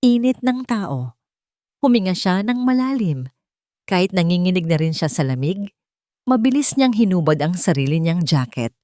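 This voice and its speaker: A woman's voice: lowish voice, very animated, normal speed, mellow, no room sound, studio quality. A woman narrating a dramatic scripted love story.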